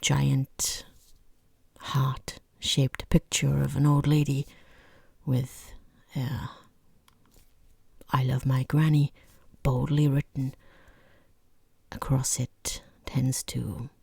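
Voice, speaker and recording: A woman playing a rude, bored, condescending boss in a softly spoken, mostly whispered ASMR roleplay, close to the microphone, with snide, deliberate delivery. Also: extremely low pitch, dry recording, exaggerated voice. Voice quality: breathy, husky whisper.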